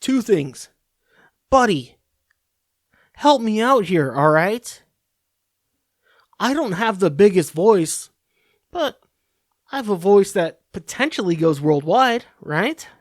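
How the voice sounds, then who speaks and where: A man's voice: sits high, very animated, takes it slowly, pauses often, dry recording. Guy ranting solo on his podcast, swears a lot, rambles, dead dry close sound. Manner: profane, rambling, sarcastic. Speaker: podcast host. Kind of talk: casual ranting monologue.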